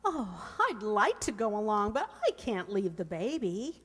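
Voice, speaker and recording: A woman's voice: medium pitch, very animated, unhurried, a bit bright, slightly rough, full character voice. A woman playing a wisecracking, weary young working woman in old-time radio comedy, wry and exclamatory. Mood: shy, delighted. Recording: echoey hall, audible background noise.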